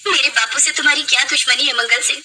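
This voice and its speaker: A woman's voice: sits high, fairly even intonation, quick pace, noisy background, natural voice. A woman playing a defiant, pleading young kidnapped bride in a dramatic story.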